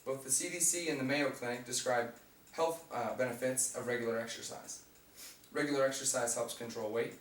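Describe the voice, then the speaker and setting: A young man with a raspy voice giving an informative class speech, reading from notes with fillers and restarts. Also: medium pitch, steady tone, fairly quick. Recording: echoey room, mic far off, faint hum.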